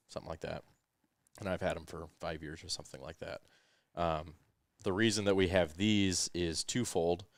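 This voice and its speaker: A man with a smooth voice hosting a podcast, giving relaxed, rambling tech explanations full of ums and uhs into a headset microphone. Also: low-pitched, dry recording, studio quality. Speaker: podcast host. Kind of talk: casual explanatory tech chat. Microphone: headset microphone with headphones.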